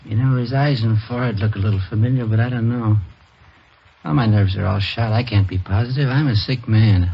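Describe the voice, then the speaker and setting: A man's voice: fairly low pitch, a little fast, creaky. An actor playing a sickly, nervous male witness, hesitant and apologetic, in a 1950s radio crime drama. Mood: nervous, weary. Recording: muffled, noisy old radio recording.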